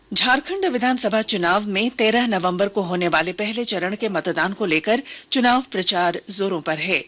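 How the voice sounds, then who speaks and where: A woman's voice: medium pitch, a bit bright. Woman reading a formal radio news bulletin. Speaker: news reader.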